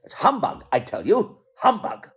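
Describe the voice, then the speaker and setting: An actor playing a querulous, skeptical old miser who is easily frightened, in a theatrical radio play. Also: male voice, high voice, huge pitch swings, normal speed, noisy background. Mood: dismissive. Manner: dramatic, querulous.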